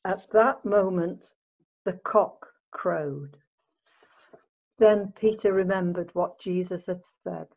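A woman narrates a scripture story from a script. Her voice is on the low side and she reads very slowly. The sound is slightly muffled and lo-fi. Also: fairly even intonation, darkish tone. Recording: muffled lo-fi home recording.

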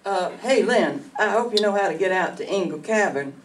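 Country doctor narrator, played by an older woman: brisk, scripted old-radio delivery, distant hall mic, faint noise. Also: medium pitch, expressive, close to an everyday voice. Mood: urgent, inquiring.

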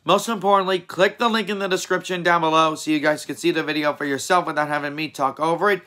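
A hoarse young man hosting a reaction video, rambling and correcting himself as he talks in a small room. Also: on the high side, steady tone, fast talker, pushed and bright. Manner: rambling, self-correcting, chatty. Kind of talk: casual reaction commentary.

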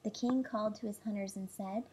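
A woman's voice: medium pitch, steady tone, brisk, darkish tone, a little hiss or hum. A woman reading a children's fairy tale aloud as a storybook narrator. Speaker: storybook reader.

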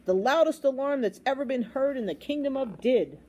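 A woman's voice: high-pitched, big pitch swings, brisk, a little mellow, a little hiss or hum, voice pushed up, poor audio. A woman voicing an indignant, flustered royal trumpeter in an exclamatory, wailing storybook manner.

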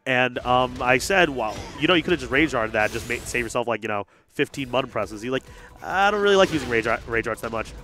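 A young man commentating a live fighting game tournament, joking and getting loud whenever the play heats up. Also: mid-range voice, fast, soft background music. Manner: excitable, jokey, gets loud when hyped. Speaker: esports commentator. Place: large hangar hall at a gaming event.

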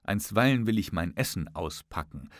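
A man reading the part of a proud, smooth-talking young gallant in a formal, insistent audiobook manner. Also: deep voice, lively intonation, normal speed, dry recording, studio quality. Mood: courtly, teasing.

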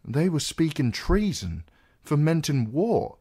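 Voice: mid-range voice, very animated, takes it slowly, dark tone, breathy edge, pitched above the performer's own voice, exaggerated voice. An audiobook narrator voicing a dutiful, troubled knight, a man with an earnest and formal way of talking. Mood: uneasy. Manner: earnest, formal courtly phrasing. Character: male sworn knight, dutiful, troubled, tender lover.

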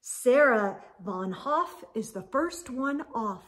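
A middle-aged woman reading a picture book aloud to children in an animated, sing-song storyteller manner. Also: a little high, slow. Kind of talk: expressive children's read-aloud. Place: indoor room lined with bookshelves.

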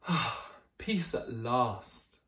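A man voicing a weary, exasperated father bear in a picture book, with drawn-out, exclaiming complaints. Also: mid-range voice, animated, sharp tone, echoey room, exaggerated voice.

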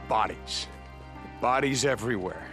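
An actor playing a hardened biker soldier in a game cutscene, talking roughly and bluntly, swearing freely. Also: male voice, mid-range voice, measured pace, natural voice. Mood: grieving, bitter. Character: hardened male drifter biker, gruff and stubborn. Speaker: biker soldier, main character. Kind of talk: video game cutscene acting. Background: game score music.

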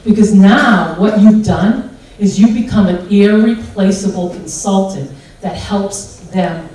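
American lady speaker, big joking voice, mellow tone, echoey far-off hall sound. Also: medium pitch. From United States. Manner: big, animated, joking delivery. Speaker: conference speaker. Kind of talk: lively conference talk. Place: large hotel conference hall.

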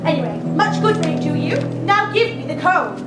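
A woman playing a scheming, villainous witch in a stage pantomime, with theatrical, drawn-out menace. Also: sits high, sing-song. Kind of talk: broad pantomime stage acting. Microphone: distant audience camera. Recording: echoey theatre stage from far off, loud music and crowd.

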